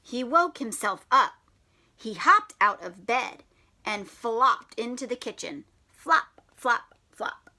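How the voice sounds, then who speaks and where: A woman reading a children's picture book aloud like a teacher, expressive with drawn-out words and playful questions to her young listeners. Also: on the high side. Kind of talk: animated storybook read-aloud with questions to children.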